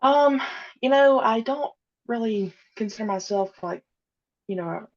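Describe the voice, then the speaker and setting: A young woman with a gravelly, fry-heavy voice chats easily as a podcast guest, laughing often and restarting her sentences. Her sound is dull and lo-fi, with the high end cut off. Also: lively intonation, measured pace, darkish tone. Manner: easygoing, many fillers and restarts, giggly. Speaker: podcast guest, audio drama creator. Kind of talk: casual interview chat.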